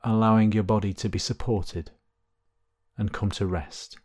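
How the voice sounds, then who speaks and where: A man leading a guided body scan meditation, giving gentle, unhurried instructions with long pauses between phrases. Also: lowish voice, fairly even intonation, husky.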